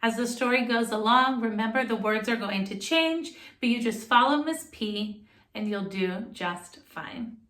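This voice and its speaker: A woman music teacher who leads children through a song in call and response, then reads a story aloud. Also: on the high side. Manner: slow, gentle call-and-response singing with a ukulele. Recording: roomy echo with loud ukulele.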